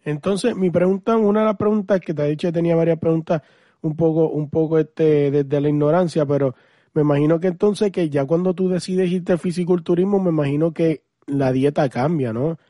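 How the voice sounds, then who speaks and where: A Puerto Rican man hosts a podcast with an informal, colloquial delivery. His voice is relaxed and mellow, sitting a little high for a man. The sound is close and dry. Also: fairly quick, no echo. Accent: Puerto Rican Spanish. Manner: informal, colloquial greetings and address. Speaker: podcast host. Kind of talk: casual podcast interview.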